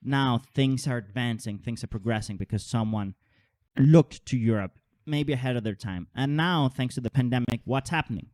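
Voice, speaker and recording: A man born in Holland with Danish citizenship, hosting a podcast in casual, animated chat and often repeating words as he thinks. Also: medium pitch, moderate pace, no room sound. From Denmark and Holland. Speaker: podcast host.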